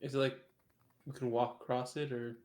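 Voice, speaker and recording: A man's voice: medium pitch, flat delivery, normal speed, a little mellow. A man playing a tabletop game, thinking aloud about what his character does. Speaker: player.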